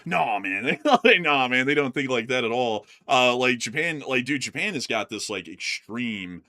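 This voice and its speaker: A man's voice: mid-range voice, animated, normal speed, bright, forceful tone. A man chatting casually as a host, rambling with lots of fillers and laughing easily while he pulls things up on screen. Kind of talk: casual chat. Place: screen-sharing while talking.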